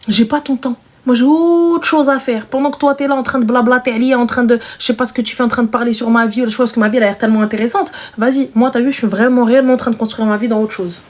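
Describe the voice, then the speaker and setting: A woman's voice: a little high, fairly even intonation, rapid-fire, few pauses. A single mother hosting a live stream, chatting casually and emphatically with slangy speech and repeated phrases.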